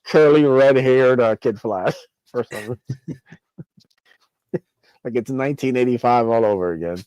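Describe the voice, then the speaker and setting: A man's voice: medium pitch, measured pace, darkish tone, smooth, dry recording, rough recording. A man co-hosting a casual comics podcast, needling the others and hyping a running gag. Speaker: podcast co-host.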